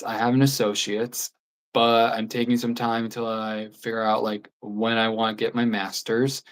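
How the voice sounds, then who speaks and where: 24 yr old streamer just chatting, slow and rambly, kinda candid, medium pitch. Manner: candid, rambling, with soft laughs. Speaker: YouTube livestreamer. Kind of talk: casual livestream chat.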